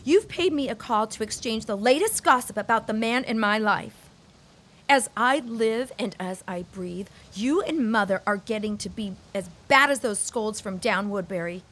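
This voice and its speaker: A woman's voice: a little high, lively intonation, moderate pace, a bit bright. An actress playing a proud, wounded young society woman in a period audio drama, speaking in a formal, theatrical, haughty way. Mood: haughty, sarcastic.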